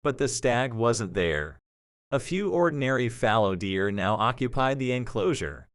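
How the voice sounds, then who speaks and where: A man's voice: medium pitch, animated, unhurried, no room sound, clean studio sound. A man narrating a scripted story as the narrator of an audio drama.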